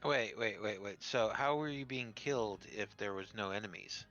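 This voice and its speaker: A man's voice: on the low side, normal speed, fairly bright tone, lo-fi sound, soft background music. A man chatting casually as a co-player, joking in a mock-earnest way. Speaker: stream co-player. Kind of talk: casual chat.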